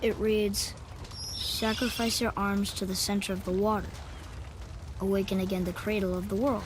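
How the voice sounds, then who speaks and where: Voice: mid-range voice, little pitch movement, normal speed, noisy background, natural voice. A performer playing an eager, curious, talkative young boy in a video game, speaking in an earnest, chatty way. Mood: puzzled. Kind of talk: cinematic video game acting.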